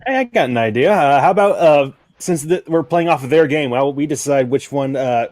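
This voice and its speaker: A man's voice: fairly high pitch, lively intonation, brisk, darkish tone, no echo. A man in casual conversation, commenting in a hesitant, rambling way as a guest. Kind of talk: casual chat.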